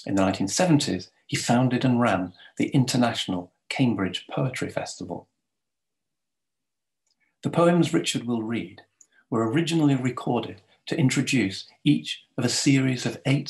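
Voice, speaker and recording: A man giving a formal, measured scripted introduction in the manner of an academic presenting a speaker. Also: mid-range voice, low-quality recording.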